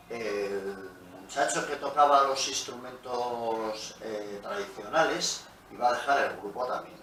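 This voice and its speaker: A middle-aged man from Pamplona, a musician answering interview questions in an unhurried, storytelling way, his voice fading quietly at the ends of phrases. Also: medium pitch. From Pamplona, Spain. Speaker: guest musician. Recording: roomy, echoey, from a distance, faint noise.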